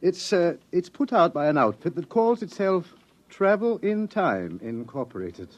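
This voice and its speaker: Suave male agent from the future, lively swooping pitch, unctuous, insinuating, menacingly polite, 1950s radio drama. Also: unhurried, dull audio, heavily acted. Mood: smooth, salesmanlike. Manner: unctuous, insinuating, lingering over words. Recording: 1950s radio broadcast recording.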